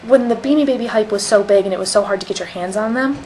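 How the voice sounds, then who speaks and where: A woman's voice: mid-range voice, quick pace, darkish tone. Young woman vlogging over noisy webcam audio. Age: young adult. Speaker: vlogger in a collaborative channel. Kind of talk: casual chat to camera. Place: small indoor room at home. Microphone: webcam or laptop mic at desk distance.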